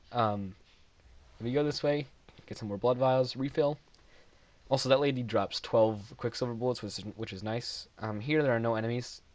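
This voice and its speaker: A man's voice: medium pitch, normal speed, long pauses, a little mellow, no echo. A young man casually commenting over his own gameplay footage, rambling and self-deprecating, often laughing at his own jokes.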